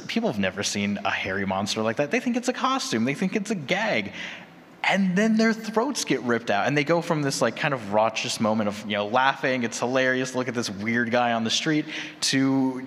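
A man's voice: medium pitch, a bit bright. A man speaking casually as a panelist in a live discussion, with a descriptive storytelling manner. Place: large echoey room at a convention panel. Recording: echoey hall, noticeable background noise.

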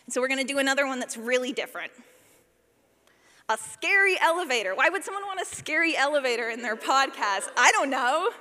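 A woman's voice: high-pitched, lively intonation, a little fast, pushed and bright. A woman giving a casual, conversational workshop talk as a sound designer, with a wry, playful, self-deprecating manner. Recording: long hall reverb.

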